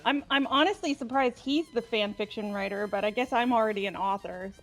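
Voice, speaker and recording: A woman's voice: fairly high pitch, a little fast, rough recording. A woman chatting casually as a player in a group game playthrough. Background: faint music.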